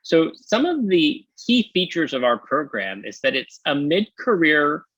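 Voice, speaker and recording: A man's voice: fairly high pitch, big pitch swings, measured pace, fairly bright tone, husky, dry recording, dull audio. A man presenting a university program in a webinar over a video call, friendly with frequent ums. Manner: friendly, many filler ums.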